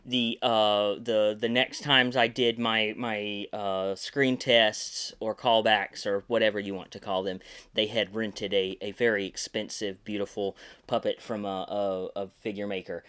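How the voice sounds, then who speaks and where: A man's voice: fairly low pitch, flat delivery, unhurried, a bit bright, clear, resonant tone. A man hosting his podcast in an easygoing, rambling way, full of filler words and restarts, and a little congested from sinus trouble. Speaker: podcast host and puppeteer. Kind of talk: casual podcast chat.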